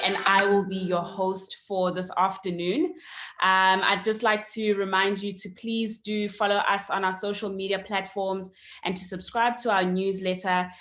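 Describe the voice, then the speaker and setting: A woman's voice: medium pitch, a bit bright. A woman, hosting an online interview and welcoming her guest, with frequent ums along the way. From South Africa. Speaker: host.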